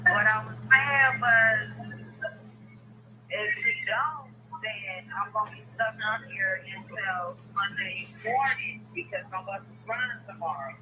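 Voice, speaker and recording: A man talking quietly in short replies to the vlogger. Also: high-pitched, a little slow, a little hiss or hum, rough recording.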